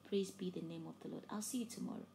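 A woman's voice: on the low side, steady tone, fast, creaky, slight background noise. Fervent woman preaching. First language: Yoruba. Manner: fervent, rising to loud emphatic peaks, then dropping to hushed near-whispers. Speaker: preacher and host. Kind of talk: preaching a sermon with an altar call.

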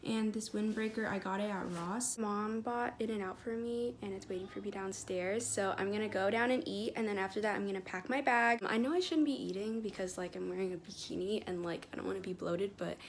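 A woman's voice: mid-range voice, brisk, few pauses, a little hiss or hum. A young woman chatting casually to her phone camera in a day-in-the-life vlog, upbeat and full of "like" fillers. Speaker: vlogger. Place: bedroom at home, later outdoors on a windy beach. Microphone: phone camera at arm's length.

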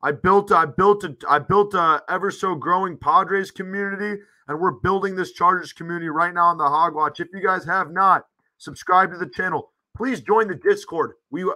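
A man's voice: high voice, moderate pace, pushed and bright, poor audio. Young male streamer with a tiring voice. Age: 20s. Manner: informal, addresses viewers as guys. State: losing his voice. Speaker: livestream host. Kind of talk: casual fan livestream chat. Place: small home room, indoors. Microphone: close desk microphone.

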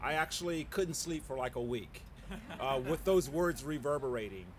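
A man's voice: medium pitch, expressive, normal speed, slight background noise. A middle-aged man, a landscape architect, giving a short, heartfelt and conversational speech at an outdoor podium. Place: outdoor plaza. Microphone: podium mic.

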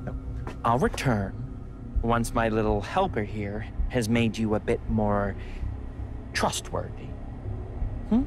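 An actor playing a smug, menacing middle-aged tech villain in a video game, with a smooth, condescending manner and theatrical pauses. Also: male voice, lowish voice. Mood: mock-sympathetic menace. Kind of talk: video game cutscene acting. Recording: music behind the voice. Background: cinematic music.

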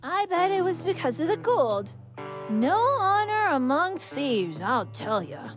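A brash, scornful young woman from a visual novel, put on by a female reader. She drawls slowly in a high, swinging voice, blustering and bratty, with music playing behind her. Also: big pitch swings, exaggerated voice. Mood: brash, needling. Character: brash, scornful young woman treasure hunter, manic temper. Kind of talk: visual novel character dub.

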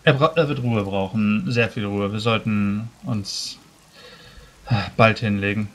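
A man playing a careful, concerned scholar-healer in a tabletop game, speaking formally with measured hesitation. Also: on the low side, steady tone, a bit bright. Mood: soothing, concerned.

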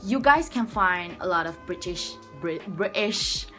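A woman's voice: on the low side, lively intonation, measured pace, bright, forceful tone, faint music behind. A young woman from Sabah with a Malaysian accent whose first language is Malay, talking to camera in an earnest, rambling way, full of restarts, in a small room. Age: young adult. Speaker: vlogger. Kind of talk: casual talk to camera. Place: small room indoors, by a door. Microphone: front-facing camera at arm's length.

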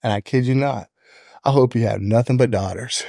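A man's voice: medium pitch, a little fast, creaky, mellow, no room sound, studio quality. A man in his fifties with an American accent, telling personal stories casually as a podcast guest. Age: 50s. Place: indoor podcast studio. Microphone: close studio microphone on a stand.

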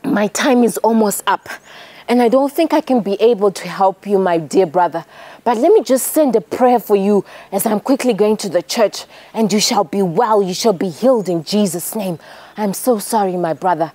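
A woman voicing a hurried male pastor who rehearses a sermon in a drawn-out preaching voice. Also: medium pitch, dark tone, dry recording, close to an everyday voice. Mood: dismissive.

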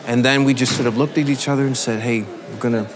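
A man's voice: mid-range voice, fairly even intonation, quick pace. Man musician, reflective backstage interview voice, some crowd noise under it. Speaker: band musician.

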